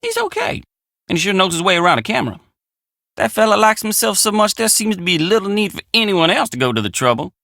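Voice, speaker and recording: A man's voice: sits high, very animated, quick pace, vocal fry, bright, forceful tone, no room sound, clean studio sound, exaggerated voice. An actor playing a friendly, plainspoken young country handyman with an American drawl in a video game. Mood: wry, sincere. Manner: folksy, plainspoken country phrasing. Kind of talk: video game character dialogue.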